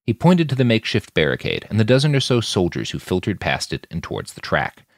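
A man's voice: fairly low pitch, very animated, quick pace, dry recording, clean studio sound. A man reading scripted fiction as an audiobook-style podcast narrator.

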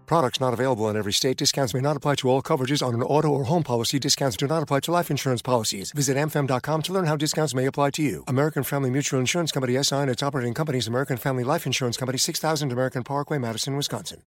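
A man races through a scripted legal disclaimer. His delivery is extremely fast, mid-pitched, and recorded very dry. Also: no room sound. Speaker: disclaimer announcer. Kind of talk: rapid legal disclaimer read.

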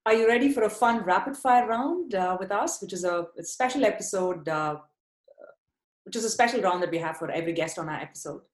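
A woman from India with an Indian accent, hosting a podcast interview and leading rapid questions with thanks. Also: medium pitch, animated, roomy sound. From Chennai, India. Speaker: podcast host.

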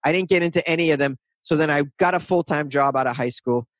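A man's voice: on the high side, quick pace, fairly bright tone, no echo. A man in his thirties from north of Boston, chatting as an interview guest in a rambling, self-deprecating way. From north of Boston, USA. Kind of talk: casual interview chat.

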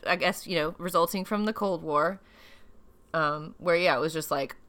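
A woman's voice: low voice, normal speed, a bit bright. A tired woman co-hosting a podcast in casual, rambling chat. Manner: rambling, laughs often. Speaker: podcast co-host.

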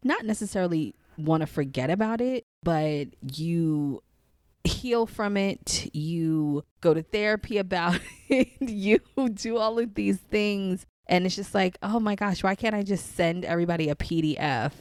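A woman, a guest telling her story in a casual interview, animated and rambling with lots of laughter. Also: fairly low pitch, measured pace, no room sound, studio quality. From Queens, New York City. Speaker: guest, psychic channel.

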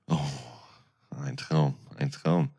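A man's voice: rumbling low, little pitch movement, slow, warm tone. A man co-hosting a podcast, chatting casually in a playful, joking way. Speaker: podcast co-host. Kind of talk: casual chat.

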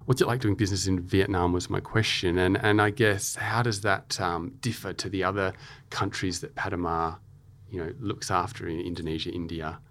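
A man's voice: sits low, steady tone, moderate pace, fairly bright tone. A man hosting an interview, asking casual questions with some hesitation and fillers.

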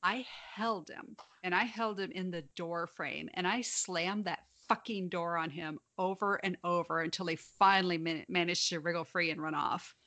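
A woman's voice: fairly low pitch, fairly even intonation, normal speed, few pauses, pushed and bright. A young adult woman with a slight lisp, telling stories frankly in a casual podcast chat and swearing freely. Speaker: podcast co-host.

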